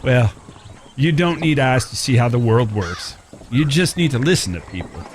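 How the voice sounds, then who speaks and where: A man's voice: mid-range voice, animated, moderate pace, slight background noise, lightly acted. An actor playing a charming, self-deprecating blind man in an Old West audio drama, with courtly, folksy phrasing. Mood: gently confident.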